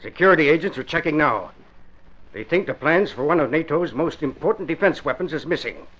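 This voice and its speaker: Sober doctor, muffled recording. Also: male voice, fairly high pitch, lively intonation, a little fast, fairly bright tone. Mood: grave, firm. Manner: firm, sober. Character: grave, matter-of-fact male doctor. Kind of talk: 1950s radio drama acting. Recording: dull old radio recording.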